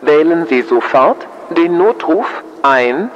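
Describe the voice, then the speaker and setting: A man giving a formal fire brigade public warning in short, deliberate phrases over a muffled, echoing loudspeaker. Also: fairly high pitch, lively intonation, a little hiss or hum. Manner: slow, clipped, deliberate phrasing. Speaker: fire brigade emergency announcer. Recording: siren loudspeaker with long echo, muffled.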